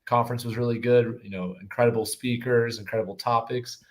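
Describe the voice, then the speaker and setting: A man with a mid-pitched voice that is a little hoarse from shouting. He talks in an enthusiastic, slangy way, like a guest hanging out on a casual live show. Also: fairly even intonation, fairly quick. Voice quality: slightly hoarse. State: hoarse from yelling. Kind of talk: casual livestream chat.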